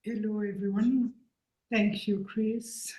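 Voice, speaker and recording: A woman's voice: mid-range voice, flat delivery, takes it slowly, dark tone. A Rwandan woman whose first language is Kinyarwanda, speaking earnestly at an event and searching for her English words as an invited speaker. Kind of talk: halting public talk. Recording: echoey room.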